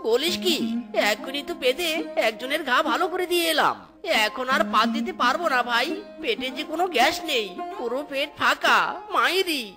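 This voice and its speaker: A woman's voice: high voice, brisk, over music, close to an everyday voice. A woman voicing a put-upon male fox husband in a comedy cartoon, whiny, exclamatory and pleading. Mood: weary protest. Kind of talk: exaggerated Bengali comedy cartoon acting.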